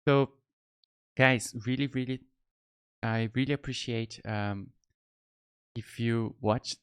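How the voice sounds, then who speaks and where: A man's voice: mid-range voice, steady tone, slow pace, smooth. A man in his thirties from Brazil with a Brazilian Portuguese accent, talking casually to camera as a tutorial host, friendly and rambling with lots of fillers, recorded in a small home studio on a close mic. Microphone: close studio mic on boom arm.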